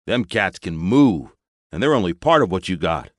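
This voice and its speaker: A man voicing a big, gruff older male space biker with street slang in an audiobook. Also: low voice, very animated, moderate pace, no echo. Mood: grudging.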